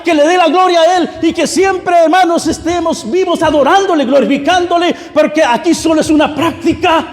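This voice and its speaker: A man preaching a fervent sermon, exhorting the congregation directly and circling back over his phrases. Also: very high-pitched. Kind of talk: Pentecostal-style sermon. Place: large reverberant room, church service. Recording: echoey hall with long reverb.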